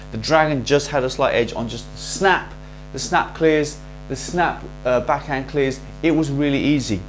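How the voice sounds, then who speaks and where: Male host for a casual gear review, somewhat high voice, chatty and salesy, slightly worn, faint mains hum under the track. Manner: chatty and salesy, with quick asides. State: losing his voice. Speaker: racket reviewer and shop host.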